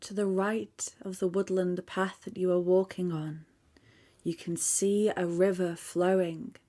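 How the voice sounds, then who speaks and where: Slow, hushed woman guiding meditation. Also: lowish voice. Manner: soft, calm, hushed, half-whispered at times. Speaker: meditation guide. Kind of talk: guided meditation.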